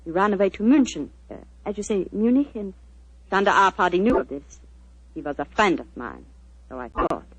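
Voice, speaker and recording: An actress playing an anxious young woman pianist with a German accent, pleading in halting English in a 1940s radio drama. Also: mid-range voice, expressive, husky, dry recording. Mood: anxious, bitter. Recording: muffled 1940s radio recording.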